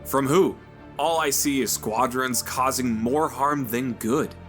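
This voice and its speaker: A man's voice: mid-range voice, takes it slowly, a bit bright, faint music behind. A man playing a tough, weary ranger sniper who argues urgently in a fan audio drama.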